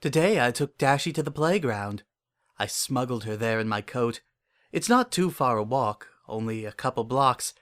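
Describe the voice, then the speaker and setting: A man's voice: mid-range voice, expressive, moderate pace, fairly bright tone, lightly acted. Lonely, deluded male confessor for audio drama, acted by a man, mid-range voice, earnest, uneasily intense, intimate first-person storytelling. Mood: bleak. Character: lonely, obsessive, delusional man confiding his private devotion.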